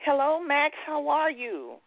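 Creaky-voiced woman on a podcast. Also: high voice, very animated, measured pace, no room sound, phone-quality sound. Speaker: podcast co-host. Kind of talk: friendly conversational podcast talk.

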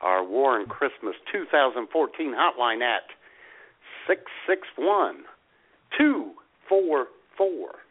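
A man's voice: medium pitch, very animated, slow pace. A man co-hosting a radio show with mock-dramatic, sarcastic comic banter, heard over a phone-like connection. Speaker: co-host of a political comedy radio show. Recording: tinny phone-line internet radio audio.

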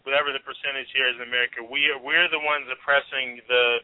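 A laid-back man hosts a call-in show, trading jokes in loose, slangy talk. His voice sits at an ordinary middle pitch. It comes through a thin, tinny phone line. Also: level tone, fast talker, dry recording. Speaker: host.

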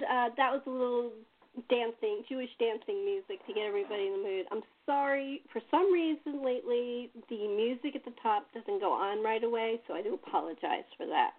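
A woman's voice: fairly high pitch, a little fast. A woman hosting a casual chat show, speaking informally with guests. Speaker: host. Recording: thin, phone-like line.

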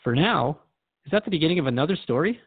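Guy as a friendly radio host asking questions, bit higher pitched, no room echo, thoughtful and chatty. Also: expressive, fast talker, husky, narrow, tinny audio. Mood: curious, probing. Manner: conversational, probing. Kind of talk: radio drama interview.

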